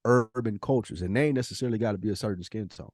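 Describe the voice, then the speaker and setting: A man's voice: on the low side, rapid-fire, a little mellow, no echo, clean studio sound. An American man talking casually as a podcast co-host, informal and slangy, giving his opinions freely. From United States. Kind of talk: casual conversational podcast chat.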